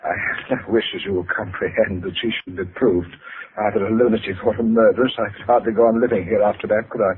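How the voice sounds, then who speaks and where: An actor in an old radio drama playing a cold, controlling father who threatens in a formal, measured way. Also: lowish voice, steady tone, lightly acted. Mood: cool contempt. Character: cold, controlling father calmly blackmailing at gunpoint. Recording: noisy, tinny old radio recording.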